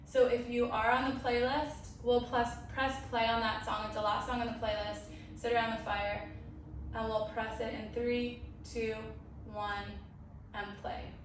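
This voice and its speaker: A woman teaches a yoga class in a higher-pitched voice. Her manner is gentle and encouraging as she gives step-by-step guidance. She sounds set back from the mic in a very reverberant space. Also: level tone, a little slow, background noise. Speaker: yoga instructor. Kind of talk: guided vinyasa yoga class. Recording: very echoey, distant, music playing. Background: music.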